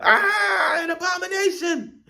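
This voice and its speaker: Man putting on high, shrieking panicked forest animals. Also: lively intonation, slow, creaky, heavily acted. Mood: terrified. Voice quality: shrieking, shouted.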